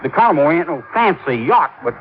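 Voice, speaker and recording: A man's voice: fairly high pitch, very animated, fairly quick. A man playing a folksy, talkative, proud waterfront boatman, in an old-time radio drama style. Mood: friendly, chatty. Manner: drawling, rustic, chatty speech. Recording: muffled, noisy vintage radio recording.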